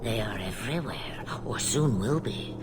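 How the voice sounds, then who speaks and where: A woman playing an ancient, amused, imperious witch in a fantasy game, husky, theatrical and drawling. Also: extremely low pitch, lively intonation, measured pace. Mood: sardonic curiosity. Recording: loud music under the voice.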